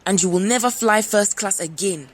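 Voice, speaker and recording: A woman's voice: medium pitch, moderate pace, lightly acted. A woman playing an eager, naive and loyal young mutant woman in an audio drama. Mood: threatening.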